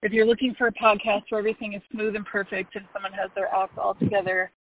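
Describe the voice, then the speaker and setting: A woman's voice: medium pitch, level tone, fast talker. A woman hosting a podcast in casual, unscripted chat, informal and self-deprecating. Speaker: podcast host. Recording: tinny phone-like sound.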